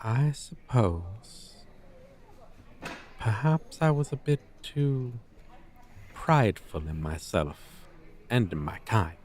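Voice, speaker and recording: A man's voice: fairly low pitch, expressive, takes it slowly, long pauses, a little mellow. A man voicing a proud, centuries-old dragon teacher with stilted, archaic, formal diction in an ASMR roleplay. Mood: humbled, formal.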